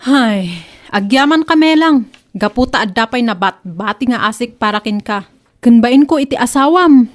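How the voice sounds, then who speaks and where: A wronged neighbour acted by a woman in an Ilocano radio comedy drama. She speaks a little high, stern yet forgiving, with an Ilocano accent. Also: expressive, moderate pace, close to an everyday voice. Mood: stern, relenting.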